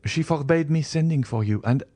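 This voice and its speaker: A man's voice: fairly high pitch, fairly quick, warm tone, no room sound, voice pushed up, close to an everyday voice. A man voicing an anxious young noblewoman. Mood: agitated. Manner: pleading, earnest, tearful. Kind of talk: audiobook character reading.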